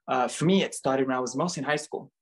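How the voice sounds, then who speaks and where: A man's voice: medium pitch, fast talker. A young man raised in Canada chats easily as an interview guest. His talk is loose and rambling, scattered with "like" and "um". His voice sits in the middle of the male range. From Bulgaria, raised in Canada. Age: young adult. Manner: chatty, many fillers like "like" and "um". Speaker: interview guest, model and dancer. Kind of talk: casual chat.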